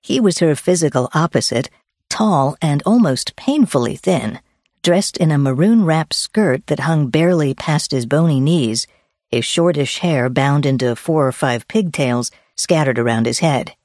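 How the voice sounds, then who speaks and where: Low, husky woman narrating a memoir. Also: animated, a little slow, darkish tone, no room sound, clean studio sound. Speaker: audiobook narrator. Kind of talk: scripted first-person memoir narration.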